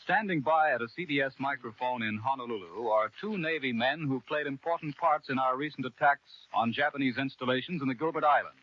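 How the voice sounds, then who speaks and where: A man's voice: medium pitch, a little fast, few pauses. A man anchoring a 1940s radio newscast, introducing reports in a formal announcer manner. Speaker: news anchor. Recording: muffled 1940s radio broadcast.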